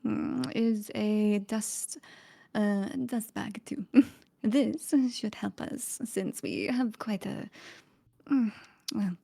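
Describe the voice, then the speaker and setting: A woman voicing a haughty, dry fancy lady adventurer in a tabletop game, breathy, hesitant and condescending. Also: medium pitch, steady tone, drawn-out words, heavily acted. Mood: careful, wry. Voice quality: breathy, hushed. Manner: hesitant, condescending, breaks into small laughs. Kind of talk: in-character tabletop roleplay.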